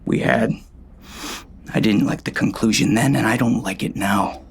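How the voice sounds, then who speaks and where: A man playing a gruff, bitter gunslinger who tells his own story in terse, folksy western talk, as dramatic audiobook narration. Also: lowish voice, normal speed. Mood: bitter, tense. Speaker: audiobook narrator and lead actor.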